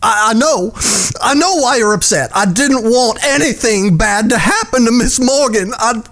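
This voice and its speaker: A man playing a desperate, lovesick, cowardly villain in a Western audio drama, pleading in a halting, whimpering stammer. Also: very high voice, expressive, fairly bright tone, full character voice. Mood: pleading, grieving.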